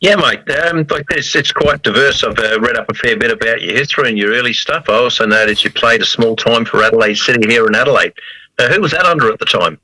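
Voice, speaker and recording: Male panelist, mid pitch, bright, dry close sound, relaxed. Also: fast, dry recording, rough recording. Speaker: panel member asking questions. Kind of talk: casual interview chat.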